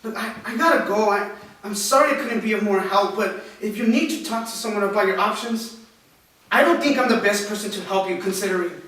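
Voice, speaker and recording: A man's voice: high-pitched, brisk, a bit bright. A man playing a bitter, resigned older teenage boy in a staged reading of a drama. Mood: weary, subdued. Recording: roomy echoing hall, distant mic, faint noise.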